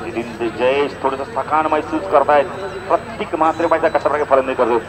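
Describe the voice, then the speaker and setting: A man's voice: medium pitch, steady tone, fairly quick, low-quality recording. A man with a Maharashtrian accent doing live cricket commentary and announcements. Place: outdoor cricket ground. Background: crowd.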